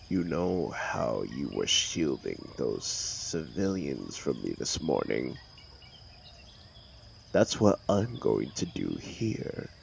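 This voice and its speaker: Man playing a very deep, gravelly telepathic villain. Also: slight background noise, exaggerated voice. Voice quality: gravelly, breathy at times. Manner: slow, theatrical, hushed, commanding yet soothing. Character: brooding telepathic male villain turned gentle protector. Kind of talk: audio roleplay drama, intimate comforting monologue.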